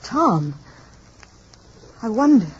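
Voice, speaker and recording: A caring, sensible mother in a vintage radio drama. Her high voice swings expressively and stays polite but firm. The sound is muffled, with faint noise. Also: very animated, very slow, exaggerated voice. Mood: uneasy. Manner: polite, reassuring yet firm. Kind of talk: old-time radio drama acting.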